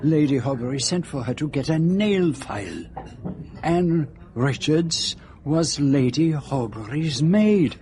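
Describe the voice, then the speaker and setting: An actor playing a proud, middle-aged Belgian detective in a radio drama, with a French-speaking Belgian accent and a formal, deliberate manner. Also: male voice, on the high side, animated, a little mellow. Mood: intent. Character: precise, proud Belgian detective, brooding then urgent.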